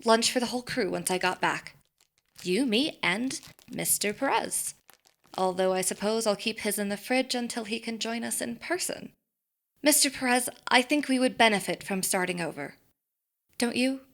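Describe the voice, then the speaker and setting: A composed, bright-voiced female boss. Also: a little high, creaky. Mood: conciliatory. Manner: formal, measured, polite. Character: Ed, composed, polite, evasive woman boss. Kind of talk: audio drama acting.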